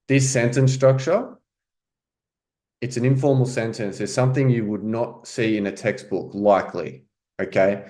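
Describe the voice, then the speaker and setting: Male language coach, casual explainer, slightly low voice. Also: darkish tone. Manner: explanatory, repeats "right?" and "okay?". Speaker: French coach. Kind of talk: casual teaching commentary.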